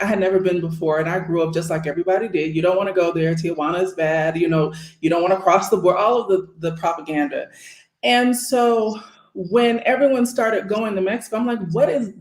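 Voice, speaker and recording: A woman's voice: medium pitch, reverberant. A forty-eight-year-old American woman from Northern California, telling her story casually as an interview guest.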